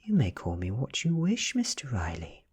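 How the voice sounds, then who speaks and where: British man reading as a cool, breathy vampire girl. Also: mid-range voice, wildly swooping pitch, normal speed, darkish tone, pitched above the performer's own voice, exaggerated voice. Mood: cool, imperious. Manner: cool, teasing, imperious. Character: cool, ancient female vampire in teenage guise.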